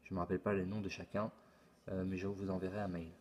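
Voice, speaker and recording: Middle-aged guy with a deep flat voice, stop-start explaining, lots of ums and repeats. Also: slight background noise. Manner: halting, many repeated words and "euh" fillers; plain explanatory tone. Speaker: YouTube channel host. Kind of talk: casual screen-recorded forum walkthrough.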